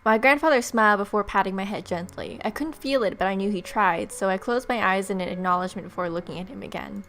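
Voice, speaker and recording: A woman's voice: medium pitch, fast talker, a little hiss or hum. A woman hosting a let's play, reading game narration aloud dramatically with casual asides, music behind her. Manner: dramatic, expressive read-aloud.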